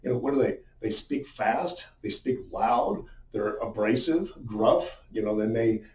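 A man's voice: on the low side, expressive, measured pace, a little mellow. A middle-aged man giving an informal, conversational lesson as a sales coach, explaining things with frequent asides. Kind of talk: informal instructional talk at a whiteboard. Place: small indoor room with a whiteboard. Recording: roomy, muffled, distant-sounding echo.

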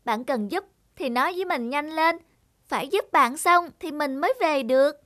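A woman's voice: sits high, expressive, normal speed, a bit bright. A woman voicing a bossy, impatient little witch girl in a children's cartoon. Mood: pushy. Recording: music under the voice.

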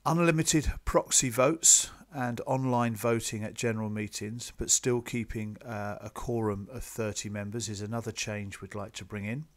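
Low-voiced man giving a club presentation. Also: fairly even intonation, moderate pace, hardly any pauses. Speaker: sailing club officer presenting to members. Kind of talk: slide presentation, semi-scripted explanatory talk.

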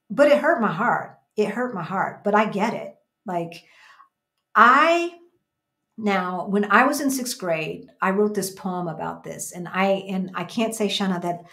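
A woman's voice: medium pitch, vocal fry, a bit bright. An American woman in her fifties co-hosting a casual livestream from a room at home, talking emphatically and repeating phrases for emphasis. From United States. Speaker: co-host of a livestream. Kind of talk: casual livestream chat, reading out viewer comments. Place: small indoor room at home.

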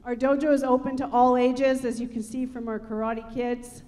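A woman's voice: on the high side, little pitch movement, moderate pace, darkish tone. A middle-aged woman, a martial arts instructor, speaking into a handheld microphone to an audience as she presents a live demonstration on a theatre stage. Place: large theatre stage, indoors.

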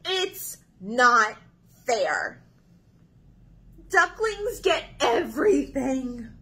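A woman's voice: very high-pitched, a bit bright, voice pushed up, full character voice. A woman voicing an indignant, whiny pigeon from a picture book, complaining dramatically. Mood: outraged. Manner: dramatic, exclaiming, drawn-out complaints.

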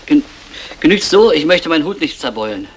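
An actor playing a stingy, nagging small-time crook, petty and aggrieved, in a 1960s German crime radio play. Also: male voice, on the high side, big pitch swings, a little fast, slightly rough. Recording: noisy vintage 1960 radio recording.

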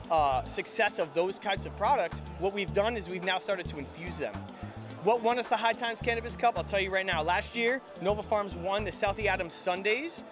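Man, rapid energetic pitch, high voice, fluent salesman, interviewed on handheld mic. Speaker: cannabis company representative, interviewee. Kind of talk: upbeat product pitch in an interview. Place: indoor convention hall booth. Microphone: handheld microphone held by interviewer.

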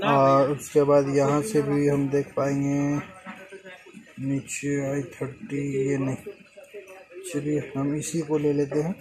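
A man's voice: medium pitch, completely flat delivery, takes it slowly, crowd noise, low-quality recording. A young man, a car mechanic, explains a repair step by step while he works on an engine, filmed close on a phone. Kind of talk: hands-on repair tutorial. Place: inside a car and at the open engine bay, outdoors.

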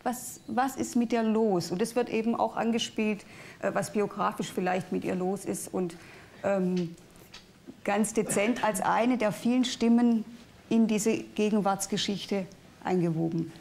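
A woman critic on a TV literary panel has a mid-range voice with a strong gravelly fry. She speaks analytically and hesitates now and then. She is heard in a TV studio. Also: mid-range voice, steady tone, measured pace, a little mellow. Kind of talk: televised panel discussion.

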